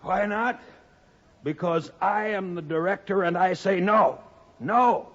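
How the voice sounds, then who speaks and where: A man's voice: high-pitched, takes it slowly, heavily acted. A man playing a blunt, dismissive, exasperated theater director, emphatic and scornful in a 1950s radio thriller. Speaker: radio actor. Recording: dull lo-fi 1950s radio recording.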